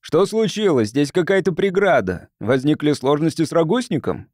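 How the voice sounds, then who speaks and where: A man's voice: a little high, lively intonation, normal speed, darkish tone, no room sound, clean studio sound, voice pushed up, lightly acted. A man voicing an earnest, polite sociologist from Earth who reasons with others in an audiobook. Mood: anxious, confused. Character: earnest, conciliatory male visiting sociologist from Earth.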